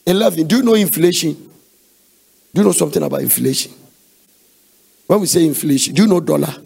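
A Ghanaian man preaches in a very gravelly, husky voice pitched fairly high. He is emphatic, repeating phrases for weight and slipping into conversational asides and questions to his listeners. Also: brisk, a little mellow. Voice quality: raspy, gravelly. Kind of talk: sermon with questions to the congregation.

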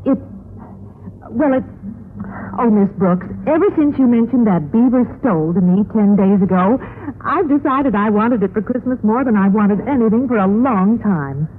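An actress playing an earnest, scheming middle-aged housewife in a chatty, confiding manner in a vintage radio sitcom. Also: female voice, mid-range voice, expressive, moderate pace, natural voice. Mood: confiding, eager. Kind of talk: 1950s radio sitcom acting. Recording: tinny, noisy old-time radio recording.

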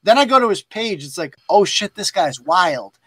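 Bright-voiced animated male host. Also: high voice, normal speed, no echo. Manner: laughing, animated, reads posts aloud. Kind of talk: casual live show banter.

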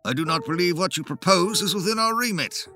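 A stern, devout older Victorian gentleman, as a male storyteller puts him on who answers with firm conviction. His voice is bright and animated in pitch. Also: brisk, soft background music, full character voice.

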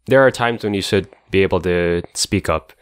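Calm, low-voiced, measured young man. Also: clear, resonant tone, no room sound, studio quality. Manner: calm, measured, reasoning things through. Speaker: podcast co-host. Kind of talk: casual chat.

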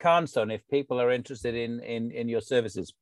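A man's voice: medium pitch, fairly even intonation, a little fast, no room sound, clean studio sound. A man hosting an interview, genial and with frequent fillers. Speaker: podcast host. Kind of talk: conversational interview.

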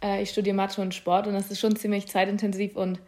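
A nineteen-year-old woman speaks on a friends' podcast. She talks fast and matter-of-fact, with very little rise and fall in her voice. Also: mid-range voice, flat delivery, darkish tone. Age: late teens. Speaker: guest. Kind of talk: casual chat.

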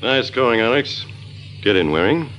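An actor playing a sardonic, self-satisfied American gangster in an old radio crime drama. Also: male voice, medium pitch, lively intonation, a little slow, music in the background, natural voice. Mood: smug, sardonic. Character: smug male gangster, back from supposed death. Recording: dull old radio recording.

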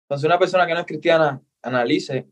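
A man's voice: a little high, fast. A man from Cuba with a Cuban accent, a podcast guest talking earnestly and repeating himself for emphasis. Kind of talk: casual interview.

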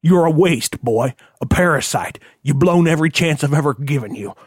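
A man's voice: on the high side, expressive, normal speed, slightly rough, no echo, clean studio sound. A man voicing a stern, bitter, ailing old rich father in an audiobook, scolding and folksy as he gives orders. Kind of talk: audiobook character acting.

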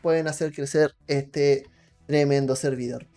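A man's voice: medium pitch, steady tone, a little slow, mellow. A man chatting casually and enthusiastically as host of a live role-playing stream on a video call. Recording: music playing behind the voice.

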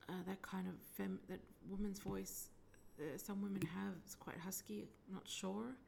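Aussie woman doing a psychic reading, croaky gravelly voice, lots of ums, slips into whispery bits. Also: medium pitch, fairly even intonation, normal speed, darkish tone, slight background noise. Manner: hesitant, filler-heavy, drifting into whispered asides. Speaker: psychic medium. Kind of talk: solo psychic reading monologue.

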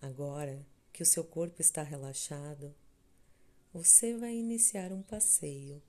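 A woman with a Brazilian accent leading a scripted guided meditation in a soothing, measured way, with long pauses between short phrases. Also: low-pitched, mellow. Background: background music.

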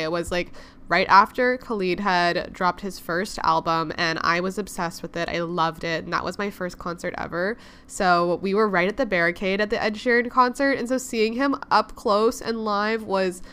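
A woman hosting a podcast in a chatty, upbeat way. Her voice sounds a little congested, yet it stays smooth and clear. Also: mid-range voice, a bit bright. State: congested, recovering from lost voice. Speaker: podcast host. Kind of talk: casual podcast chat.

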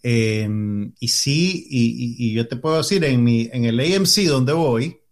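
A man's voice: mid-range voice, expressive, unhurried. An older man, chatting casually as a podcast co-host and explaining his points at length with many restarts. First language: Spanish. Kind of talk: casual chat.

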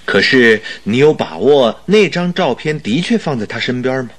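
A detective's good-natured companion in a radio drama. The voice is husky and middle-pitched, with lively rises and falls, questioning and slightly doubtful. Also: male voice, moderate pace. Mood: puzzled, doubtful. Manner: questioning, interjecting. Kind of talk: Chinese radio drama acting.

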